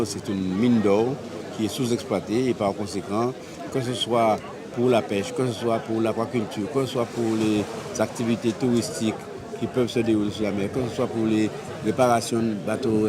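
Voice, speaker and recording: A man giving a formal, explanatory interview statement as a regional official, with repeated enumerations. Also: on the low side. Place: busy public event. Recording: loud crowd chatter behind voice.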